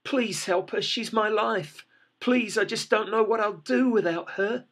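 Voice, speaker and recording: A man voicing a distraught small business owner, crying and pleading in a high, strained voice. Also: unhurried, a bit bright, exaggerated voice. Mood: desperate. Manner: pleading, tearful, voice breaking high.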